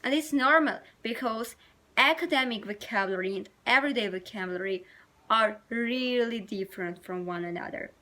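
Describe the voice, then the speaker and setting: Woman, native Armenian speaker, high bright voice, earnest study-tips vlogger, non-native English, hesitant phrasing. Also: moderate pace. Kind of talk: talking to camera, advice vlog.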